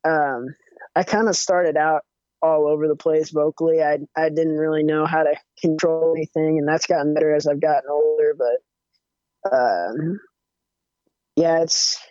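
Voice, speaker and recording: A young man with a higher-pitched voice, chatting casually as a musician guest with lots of fillers and restarts. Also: unhurried, clear, resonant tone, no echo. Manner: relaxed, rambling, many fillers and restarts. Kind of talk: casual interview chat. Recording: dull, muffled audio.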